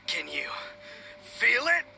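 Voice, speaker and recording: An actor playing a delirious young swordsman in a video game, melodramatic, with halting, gasping delivery. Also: high voice, wildly swooping pitch, gravelly, bright, forceful tone, a little hiss or hum, full character voice. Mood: manic bravado. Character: manly-obsessed delirious young swordsman, bombastic then pitiful. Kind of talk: English game voice acting.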